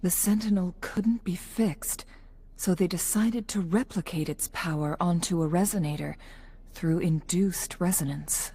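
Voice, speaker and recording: A woman voicing a composed, analytical young female guide in a video game, speaking in a measured, serious, explanatory way. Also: on the low side, fairly even intonation, dark tone, slightly rough. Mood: grave, explanatory. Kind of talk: scripted video game dialogue.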